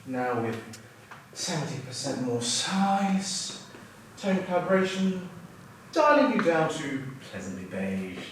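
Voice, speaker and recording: Male actor as officious computer announcer, slow delivery, higher-pitched, deadpan, distant in a noisy room. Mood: flat, officious. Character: deadpan, officious simulator computer system named Brenda. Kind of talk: staged reading, robotic system announcements. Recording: echoey room, distant mic, background noise.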